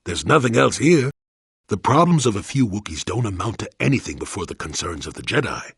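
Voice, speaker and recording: A crotchety old male hermit from a sci-fi video game, low and gravelly. He grumbles in a folksy, sardonic way, his pitch rising and dropping freely as he needles whoever is listening. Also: sing-song, fairly quick, fairly bright tone, dry recording, studio quality, exaggerated voice. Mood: stubborn, matter-of-fact. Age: older. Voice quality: gravelly, husky. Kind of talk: video game character acting.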